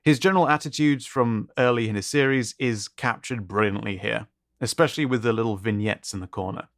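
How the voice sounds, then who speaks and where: A man with a medium-pitched voice narrates a showcase as its host. He reads prepared commentary in an appreciative, descriptive way. The recording is dry, with very little room sound. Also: fairly quick, a bit bright, no room sound. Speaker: host of an art contest showcase.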